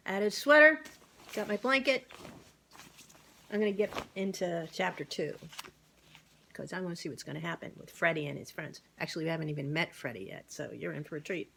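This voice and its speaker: Woman, slightly low voice, amateur reader, casual children's storybook narration. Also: expressive, fairly quick, gravelly, fairly bright tone, slight background noise. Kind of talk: casual read-aloud narration of a children's book.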